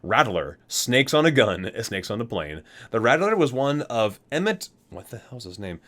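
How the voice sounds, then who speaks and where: A man reading game item descriptions in an ASMR video, dropping into casual muttered asides as he goes. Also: mid-range voice. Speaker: gaming content creator and host.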